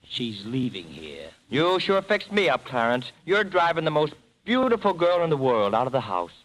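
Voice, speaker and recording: Brash, swinging-pitched 1930s radio lad. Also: male voice, big pitch swings, moderate pace, a bit bright, low-quality recording. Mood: accusing. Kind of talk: 1930s radio comedy acting. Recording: vintage 1930s radio broadcast.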